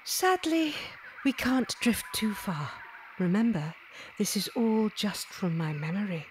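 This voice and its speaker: A woman playing a tender, dignified non-human lady who narrates her memories in a measured, literary way in an audio drama. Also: medium pitch, animated, a little hiss or hum, natural voice. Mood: wistful, tender.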